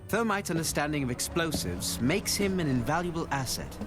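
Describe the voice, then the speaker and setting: An actor playing a male special-forces director in a video game, giving formal, measured, scripted appraisals of his operatives. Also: a little high, big pitch swings. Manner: formal, measured, deliberate delivery. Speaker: game announcer introducing operators. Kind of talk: scripted narration. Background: music.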